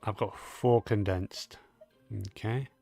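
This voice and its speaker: A man casually commenting on his own gameplay, low-key and muttering to himself as he plays. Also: slow, a little mellow, soft background music. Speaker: gaming channel host.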